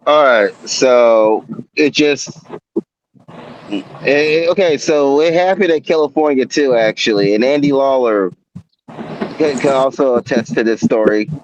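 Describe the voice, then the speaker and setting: A man's voice: medium pitch, measured pace, pauses often, lo-fi sound, a little hiss or hum. A man in his forties, a guest in a casual interview, who talks in a laid-back way with stammering restarts. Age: 40s.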